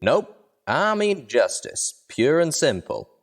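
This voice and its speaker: A man's voice: a little high, very animated, slow pace, pitched above the performer's own voice, exaggerated voice. A man voicing a plain-spoken, resolute male cowboy pegasus with a folksy, matter-of-fact drawl.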